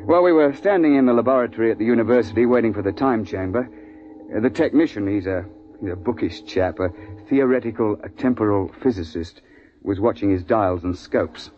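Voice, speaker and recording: A man's voice: on the low side, brisk, close to an everyday voice. Man playing urbane British safari guide, old radio. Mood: wry, matter-of-fact. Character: urbane, unflappable male big-game safari guide, wryly recounting his adventures. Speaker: lead actor and narrator. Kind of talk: 1950s radio drama acting. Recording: muffled 1950s radio recording.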